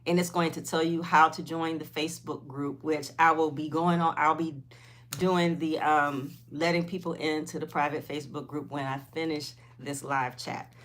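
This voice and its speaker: A woman's voice: deep voice, fairly even intonation, normal speed, fairly bright tone, slight background noise. A woman, chatting informally on a livestream and replying to viewers, often repeating herself. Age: 50s. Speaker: host of a weight loss livestream.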